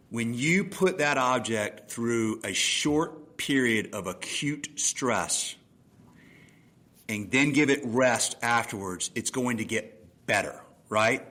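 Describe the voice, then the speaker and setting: A man's voice: medium pitch, bright, forceful tone. A man in his fifties hosting a podcast interview, talking casually and enthusiastically at a desk microphone, explaining ideas with everyday examples. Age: 50s. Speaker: podcast host. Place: room at a table with laptop.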